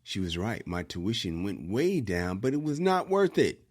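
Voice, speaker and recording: A man's voice: lowish voice, sing-song, normal speed. A man doing a Nigerian accent to read out a male listener's story. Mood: telling. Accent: Nigerian, put on.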